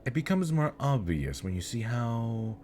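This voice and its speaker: A man's voice: medium pitch, very animated, measured pace, darkish tone, soft background music. A man playing a smug, teasing elder demon nobleman with mocking politeness and flirtatious charm, in an ASMR fantasy roleplay.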